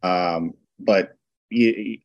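Low voiced guy, guest on hunting show, keeps restarting, uh um a lot, dry sound. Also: fairly even intonation, very slow, no room sound, dull audio. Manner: hesitant, many restarts and fillers. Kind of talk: casual interview answers.

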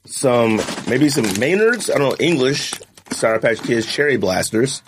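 A man's voice: mid-range voice, lively intonation, measured pace, a little hiss or hum. A man hosting a podcast, chatting casually and laughing as he tastes snacks, in laid-back slang. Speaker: podcast host. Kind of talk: casual chat, snack tasting.

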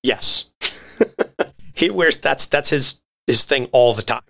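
A man's voice: mid-range voice, lively intonation, takes it slowly, creaky, a bit bright. A man co-hosting a podcast, explaining comic book history in a conversational, articulate and wordy way. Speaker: podcast co-host.

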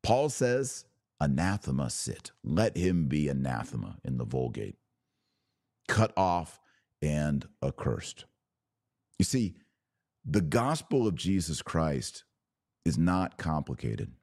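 A man hosting a religious podcast, preaching in an emphatic, declarative way with deliberate pauses and repetition. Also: rumbling low, sing-song, a little mellow, no room sound, clean studio sound. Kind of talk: preaching monologue.

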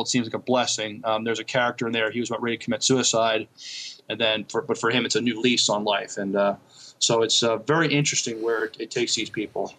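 Hesitant man with a medium-pitched voice. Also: fairly bright tone, a little hiss or hum, low-quality recording. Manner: hesitant, halting, repeats words. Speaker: podcast co-host. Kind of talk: casual review chat about TV shows.

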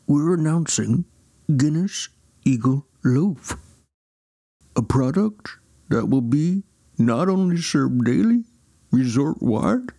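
Lady playing a salesy marketing guy, slow, husky, warm, swoops around. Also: low voice, no room sound, studio quality, full character voice. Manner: announcing, promotional delivery. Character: male marketing director, pitchman, salesy.

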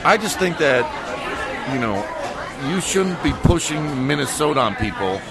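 A man's voice: a little high, big pitch swings, moderate pace. A man chatting as a podcast guest in a busy restaurant and bar, ranting in mock anger and swearing. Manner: mock-angry, profane ranting. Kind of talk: casual chat. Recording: loud bar music and crowd noise.

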